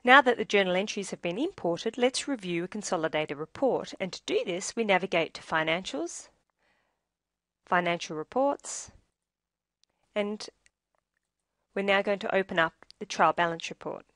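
A woman reading scripted instructional narration for a software tutorial in a measured, explanatory way. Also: medium pitch, expressive, dry recording, clean studio sound.

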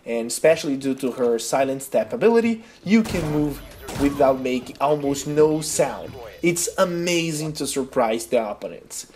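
A man's voice: mid-range voice, expressive, normal speed, darkish tone. A man from Brazil with a Brazilian accent and Portuguese as his first language narrates a game guide in casual, enthusiastic, non-native phrasing. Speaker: video game guide creator. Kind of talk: casual tutorial narration over gameplay.

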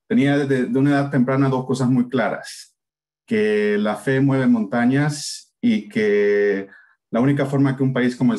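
Low-pitched Salvadoran man. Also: darkish tone. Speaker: investor, panel moderator. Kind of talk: introductory talk at an online event. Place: indoors on a video call.

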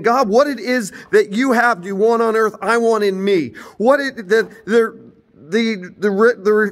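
A man in his forties giving an earnest, informal sermon, filmed on a phone held at arm's length inside an empty old church. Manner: earnest, preaching, rises and falls in pitch. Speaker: preacher.

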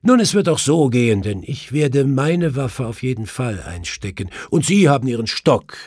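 Audiobook detective read by a man: commanding, courteous but brisk, raised husky voice, emphatic delivery. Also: mid-range voice, big pitch swings, darkish tone, no echo, clean studio sound, voice pushed up. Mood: secretive, warning. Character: brisk, commanding male detective, urgent and superior.

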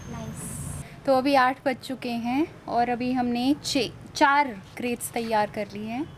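A woman's voice: on the high side, steady tone, a little slow. Chatty young woman vlogging outdoors. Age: young adult. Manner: chatty, explains to viewers. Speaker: vlog host. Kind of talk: casual chat to camera. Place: open rooftop terrace, outdoors. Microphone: handheld camera at arm's length. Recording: noisy outdoor ambience.

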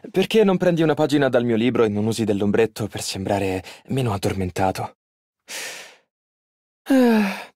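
A man's voice: fairly high pitch, a little mellow, dry recording, clean studio sound. An actor playing a polite, earnest and easily flustered young male tailor in an Italian game dub. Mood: friendly, teasing. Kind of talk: video game character voice lines, Italian dub.

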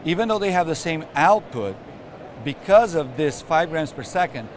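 A man with a fairly high voice explains a demonstration in a quick, instructive way. He is picked up from a few feet off on the camera mic, and the hum of a busy trade show hall sits clearly under him. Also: animated. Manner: quick, instructive, pointing things out. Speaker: coffee expert demonstrating. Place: large indoor expo hall. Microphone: camera mic from a few feet away. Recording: noisy trade show floor.

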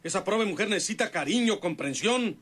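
A man's voice: sits high, animated, a little fast, fairly bright tone, breathy edge, full character voice. A man playing a domineering, stubborn patriarch, emphatic and impatient in a radio soap opera. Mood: commanding. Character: domineering, stubborn patriarch, gruffly defending a woman.

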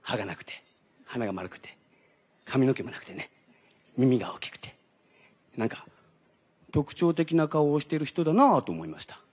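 An actor playing an earnest schoolteacher who gives a formal, halting, deadpan speech on stage. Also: male voice, medium pitch, slightly rough. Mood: earnest, rambling. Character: earnest, rambling schoolteacher addressing students. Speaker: teacher giving a pre-show speech. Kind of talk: comic stage-play monologue. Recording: stage recording.

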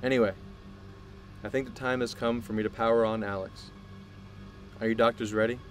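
An actor playing a proud, obsessive male scientist in an audio drama, speaking in a deliberate, grandiose way. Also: medium pitch, fairly even intonation, natural voice. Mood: proudly demonstrating. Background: music.

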